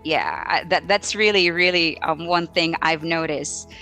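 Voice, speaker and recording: A Filipino-accented woman with a bright, forward voice teaches informally on a livestream. She takes her time, often halting to think aloud and hunt for the right word, then offers spoken examples. Soft music plays faintly underneath. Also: low voice. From Philippines. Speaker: English teacher hosting a live Q&A. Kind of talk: informal live teaching advice.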